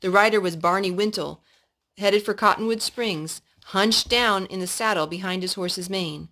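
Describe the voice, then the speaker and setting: Woman, medium pitch, storyteller, read-aloud narration for a child, historical tale. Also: normal speed, bright, forceful tone.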